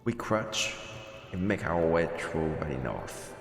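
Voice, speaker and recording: A man's voice: very deep voice, animated, slow pace, gravelly. A man streaming a game, reading a visual novel's story narration aloud. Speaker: let's play streamer. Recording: roomy reverberant sound, music behind.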